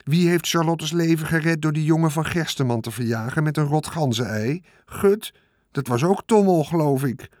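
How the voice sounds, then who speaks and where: A man's voice: on the high side, animated, normal speed, a little mellow, no room sound, studio quality, voice pushed up, natural voice. A man voicing a lazy, sarcastic male rat who grumbles and complains in a sulky, mocking manner.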